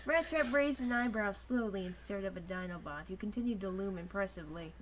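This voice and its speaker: A woman's voice: medium pitch, a little fast. A woman narrating an informal dramatic reading of a story, with casual asides. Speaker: reviewer reading aloud as narrator. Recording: noisy home recording with clicks.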